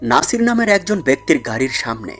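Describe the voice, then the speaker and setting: A man's voice: fairly high pitch, big pitch swings, a little fast, a bit bright, soft background music. A man playing a cunning, commanding mafia mastermind in an audio drama, explaining things in a smug, condescending way. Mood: calm, secretive. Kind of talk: dramatised audio story acting.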